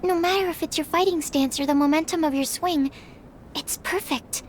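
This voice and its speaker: A woman's voice: sits high, moderate pace, slight background noise, lightly acted. A woman voicing a polite, earnest, shy young female alchemist in a video game, precise and formal. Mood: admiring, curious.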